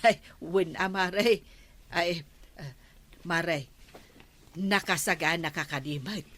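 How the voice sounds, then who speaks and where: A woman's voice: fairly low pitch, slow pace, fairly bright tone. An actress playing a nervous, gossipy village woman with an Ilocano accent in a radio comedy drama, chatty and exclamatory. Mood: amused, gossipy.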